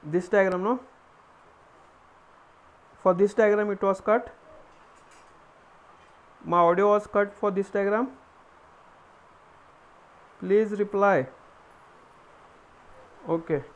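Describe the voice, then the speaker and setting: A man with a high voice and an Indian accent teaches an engineering class live online. He explains step by step, asking questions and answering them himself. He often repeats his phrases as he goes. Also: steady tone, takes it slowly, long pauses, dull audio. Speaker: engineering lecturer.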